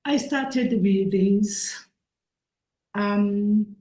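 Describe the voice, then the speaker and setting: Slow, mellow-voiced Rwandan woman speaker. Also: mid-range voice. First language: Kinyarwanda. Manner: earnest, searching for English words. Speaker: invited speaker. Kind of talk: halting public talk. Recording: echoey room.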